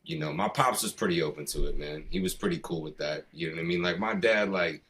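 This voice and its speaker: A man's voice: deep voice, animated, quick pace, gravelly, a bit bright. A middle-aged American man from the East Coast, a hip hop artist being interviewed, talking in a loose, slangy, rambling way. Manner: slangy, rambling, peppered with "you know" and "man". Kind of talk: casual conversation.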